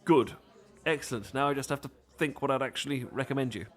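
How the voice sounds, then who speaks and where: A man's voice: mid-range voice, quick pace, natural voice. A man with a British accent playing a flustered, bashful, adorkable romantic who stammers and rambles apologetically in an intimate ASMR roleplay. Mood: nervous, smitten. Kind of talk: intimate ASMR roleplay acting, addressed to listener.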